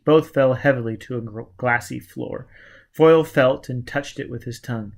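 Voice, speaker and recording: Man narrating a novel, mid-pitched. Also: moderate pace, gravelly. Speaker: audiobook reader. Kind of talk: scripted dramatic narration of a novel.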